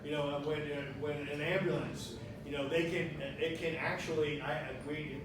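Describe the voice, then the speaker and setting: A man's voice: a little high, fairly even intonation, moderate pace. Rambling man in an echoey room. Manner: rambling, conversational, lots of restarts. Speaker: guest speaker. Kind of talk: presentation to a small audience. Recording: echoey room, distant mic, noise.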